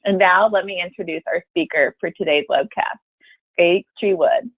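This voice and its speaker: A woman's voice: fairly low pitch, normal speed, slightly rough, no room sound. A woman who works as a marketing manager opens a webcast with a polished, scripted introduction of the guest speaker. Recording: tinny phone line, dry.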